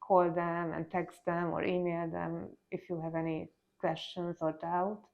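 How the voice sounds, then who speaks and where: A woman with a Hungarian accent talks through her answers in a halting, thoughtful way, often restarting her sentences. Her voice sits fairly low and has a gravelly fry, and the recording sounds dull and muffled. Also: flat delivery. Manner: thoughtful, halting, with frequent restarts and soft laughs. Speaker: interview guest. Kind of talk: casual interview conversation.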